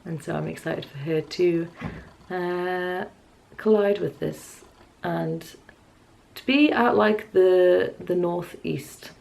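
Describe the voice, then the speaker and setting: A woman's voice: on the low side, creaky, a little mellow. A woman, chatting casually and hesitantly to camera in a wry, musing way. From Newcastle area, north-east England. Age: 20s. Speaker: vlogger. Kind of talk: casual, rambling chat to camera. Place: small furnished bedroom at home, indoors.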